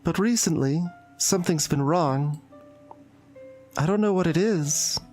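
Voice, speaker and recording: Shy, intense high school girl for a visual novel, played by a woman: hesitant, confiding, unsettlingly obsessive, over music. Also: unhurried, darkish tone. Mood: troubled, confessional. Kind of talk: dramatic visual novel voice acting. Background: background music.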